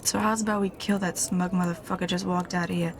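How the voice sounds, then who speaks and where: A woman's voice: lowish voice, flat delivery, fast, noisy background, natural voice. A woman playing a foul-mouthed, tough, injured fighter with a crude, sardonic, folksy manner in an audio drama. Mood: brash.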